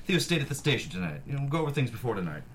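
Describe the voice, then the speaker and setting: A man's voice: medium pitch, very animated, very fast, slight background noise, natural voice. A man acting a pompous, condescending, wealthy railroad tycoon at a script table read. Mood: self-satisfied, commanding.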